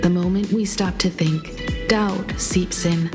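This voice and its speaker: An actress playing a calm, grave, perceptive adviser in a video game, speaking in a measured, earnest way over music. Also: female voice, lowish voice. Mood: reflective. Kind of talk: scripted video game voice acting. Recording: loud game music underneath.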